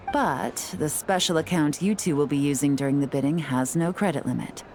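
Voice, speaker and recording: Brief: cool, collected spy, low and unhurried, game dialogue. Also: female voice, a little hiss or hum. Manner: calm, measured, self-assured. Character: cool, composed female spy and tea house owner. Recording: game audio with faint music.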